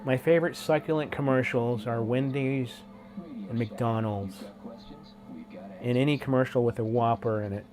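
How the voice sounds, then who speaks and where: A man's voice: mid-range voice, steady tone, normal speed, long pauses, over music. A man with a head cold, sounding congested and sniffly, hosting a live stream in a laid-back, slangy way as he greets viewers by name.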